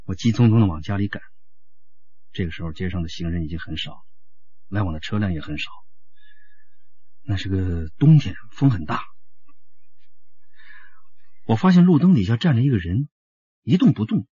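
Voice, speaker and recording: An actor playing an earnest, steady male police detective in a radio drama. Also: lowish voice, normal speed, long pauses, slightly rough, no room sound, muffled recording. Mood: pensive. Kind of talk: Mandarin radio drama acting.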